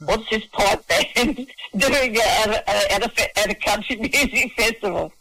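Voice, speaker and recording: A man's voice: high voice, measured pace, bright, forceful tone, low-quality recording. A middle-aged or older man with an Australian accent, chatting casually and effusively as an interview guest, matey and often repeating words as he starts phrases. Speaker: festival organiser and singer-songwriter, interview guest. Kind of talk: casual radio interview chat.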